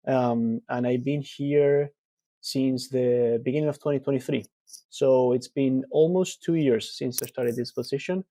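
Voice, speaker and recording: A man from Argentina in his thirties, a researcher answering interview questions in a relaxed, explanatory way with frequent fillers. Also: mid-range voice, dry recording. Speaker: guest researcher.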